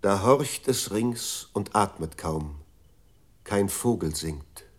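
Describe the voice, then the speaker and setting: A man's voice: lowish voice, expressive, slow, breathy edge, heavily acted. A man voicing a computer memory unit in a radio play, reciting solemnly and declaiming like a stage actor. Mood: solemn, declamatory.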